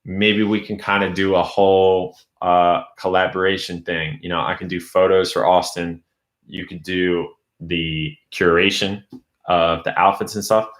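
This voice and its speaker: A man's voice: sits low, flat delivery, a little slow, a bit bright. Casual young man, roomy live stream. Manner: loose, rambling, reads chat aloud. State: losing his voice. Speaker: YouTube host.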